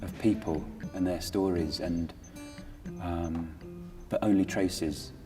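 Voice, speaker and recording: A man giving halting, reflective thoughts in an interview. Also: sits low, steady tone. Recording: distant, reverberant, guitar music behind.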